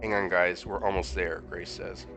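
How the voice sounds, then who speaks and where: A hoarse man streaming a horror visual novel, reading the dialogue aloud and adding sarcastic comments, sometimes jumping into high-pitched disbelief. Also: little pitch movement, normal speed. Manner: casual, sarcastic commentary with sudden high-pitched incredulous outbursts. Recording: music playing behind the voice.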